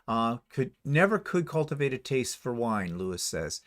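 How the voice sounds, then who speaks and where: A man chats loosely through a live show, reading out viewers' comments and tossing in wry little asides. His voice sits at an ordinary middle pitch, and his talk is easygoing. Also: sing-song, moderate pace, fairly bright tone. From Canada. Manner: reads comments aloud, chuckling asides. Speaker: livestream co-host.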